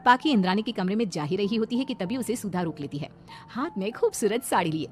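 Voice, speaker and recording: A woman reading scripted narration for an animated family story. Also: mid-range voice, fast talker, faint music behind.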